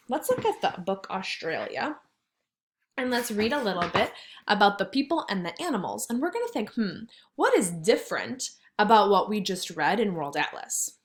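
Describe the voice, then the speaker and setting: A woman teaches kindergarteners in a fairly high voice that rises and falls in a very animated way. She reads aloud and explains in simple words, often posing questions to the children as she goes. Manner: expressive, simple wording with questions to pupils. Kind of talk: read-aloud lesson for young children.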